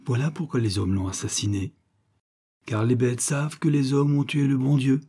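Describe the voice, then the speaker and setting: A man voicing a hunted young hen who muses quietly, in a storybook reading. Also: medium pitch, normal speed, breathy edge. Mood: wondering.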